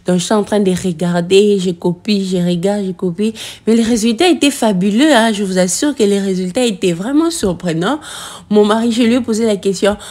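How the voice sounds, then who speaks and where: A woman's voice: mid-range voice, warm tone. Chatty woman giving a kitchen tour. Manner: chatty, slow, with many "euh" pauses and English food words. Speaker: home cooking vlogger. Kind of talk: casual home tour and cooking narration. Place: home kitchen.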